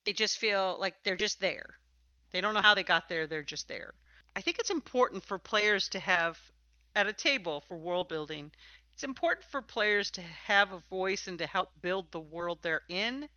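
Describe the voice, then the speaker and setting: A woman's voice: mid-range voice, animated, normal speed, pushed and bright, dry recording, lo-fi sound. A woman hosting a conversational interview about tabletop games, speaking in an emphatic, opinionated way. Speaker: podcast host and interviewer.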